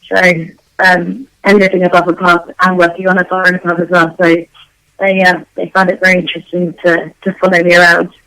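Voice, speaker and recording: A woman singer being interviewed, her voice worn out and going hoarse, chatting loosely with lots of ums and restarts. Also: on the low side, level tone, a little fast, sharp tone, no room sound, poor audio. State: losing her voice. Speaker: guest vocalist. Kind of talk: casual interview answers.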